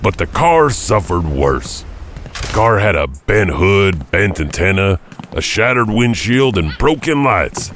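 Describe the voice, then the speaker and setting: A man's voice: sits low, big pitch swings, takes it slowly, noisy background. A man narrating a scripted tale as a podcast host, with a folksy, theatrical manner.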